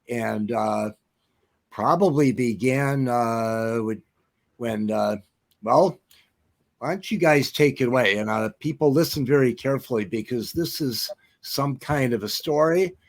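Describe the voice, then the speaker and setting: A man co-hosting an online interview, hesitant, with many pauses and fillers before he hands the floor to the guests. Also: mid-range voice. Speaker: co-host.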